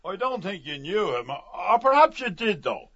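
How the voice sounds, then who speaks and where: Guy putting on a friendly inquisitive man, pitched up and animated. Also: moderate pace, slightly rough, highs cut off, full character voice. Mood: chatty.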